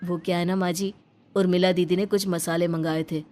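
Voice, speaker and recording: Woman doing young guy, polite younger brother in a cartoon, slightly lowered voice, deferential. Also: flat delivery, brisk, darkish tone, faint music behind, lightly acted. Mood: polite, hurried. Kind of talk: animated family story dialogue.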